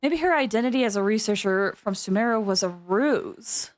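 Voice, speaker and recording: A woman's voice: mid-range voice, moderate pace. A woman streaming a game with casual, exclamatory commentary, often musing aloud. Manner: animated, exclamatory, muses aloud. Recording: faint game music behind.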